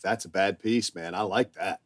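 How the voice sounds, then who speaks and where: American man, halting, in a dry close recording. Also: low-pitched, no echo. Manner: halting, repeats himself for emphasis. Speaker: podcast co-host, boat captain. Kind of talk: casual podcast chat. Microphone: remote connection.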